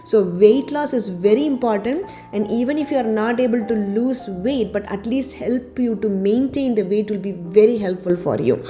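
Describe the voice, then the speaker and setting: A woman doctor giving a health talk to her channel's viewers, her voice a little raspy after a cold, steadily listing points one by one. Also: on the high side, normal speed, few pauses. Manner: steady explanatory delivery, lists items one by one. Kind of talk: informal health talk to camera. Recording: faint background music.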